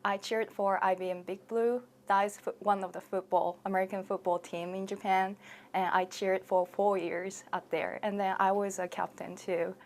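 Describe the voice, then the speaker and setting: A woman's voice: mid-range voice, flat delivery, normal speed, a little hiss or hum. A woman cheerleader telling her story in simple, carefully phrased sentences in an interview. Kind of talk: interview testimony.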